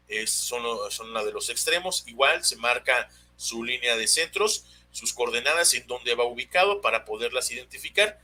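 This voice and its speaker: A man's voice: mid-range voice, pushed and bright. A man with a Mexican accent explaining technical material as a webinar instructor, unscripted, hesitant and often searching for words. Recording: noisy webinar audio with hum.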